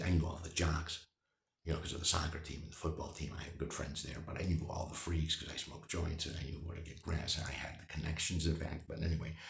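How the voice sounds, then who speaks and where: A man's voice: a bass voice, creaky, echoey room. An older man telling his own life story candidly, rambling with many fillers and restarts. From United States.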